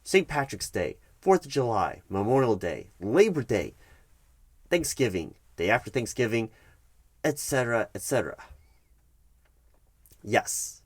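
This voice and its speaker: A man performing a one-sided ASMR roleplay as a firm, bureaucratic HR manager, explaining things in a measured, officious way with halting emphasis. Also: mid-range voice, big pitch swings, dry recording.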